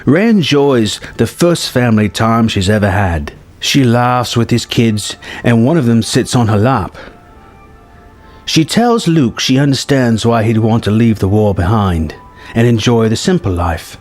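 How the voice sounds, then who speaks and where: A man's voice: mid-range voice, measured pace. A man reading out a scripted film story treatment as narrator, in present-tense prose. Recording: music behind the voice. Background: music.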